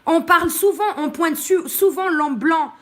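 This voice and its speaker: A high-pitched woman, from Morocco, holds forth in a live-stream monologue. She speaks her mind without softening it, pausing on fillers between points and breaking off to greet viewers. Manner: outspoken and blunt, with many hesitations.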